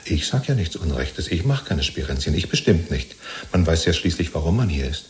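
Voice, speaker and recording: An actor in a 1970s German radio play, playing a chatty, servile jailed party loyalist who rambles and justifies himself. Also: male voice, deep voice, big pitch swings, fairly quick, a little mellow. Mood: self-justifying. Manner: rambling, ingratiating, chummy, seeking reassurance.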